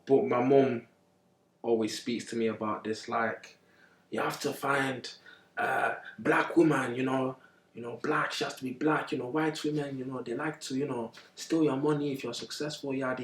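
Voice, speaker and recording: Young man, relaxed, lots of fillers, distant mic, echoey room. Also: medium pitch, moderate pace. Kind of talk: casual chat.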